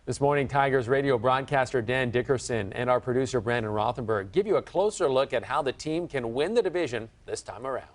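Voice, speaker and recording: A man's voice: medium pitch, animated, brisk, few pauses. An American man presenting a sports segment in scripted news narration.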